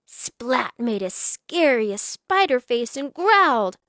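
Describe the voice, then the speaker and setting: A woman narrating a children's storybook aloud in an expressive, dramatic storytelling style. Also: a little high, takes it slowly, no room sound, clean studio sound. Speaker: storybook reader.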